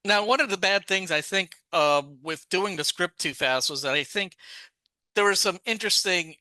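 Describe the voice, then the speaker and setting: A man's voice: sits high, normal speed, pushed and bright, dry recording. A man co-hosting a podcast, chatting casually and rambling through thoughts with many restarts and asides. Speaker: podcast co-host. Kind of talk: casual discussion.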